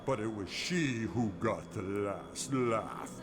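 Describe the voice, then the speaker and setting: An actor playing a theatrical recorded ghost-tour guide in a video game, telling spooky tales in a dramatic, ominous way. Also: male voice, lowish voice, slow, music in the background. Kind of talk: spooky scripted narration.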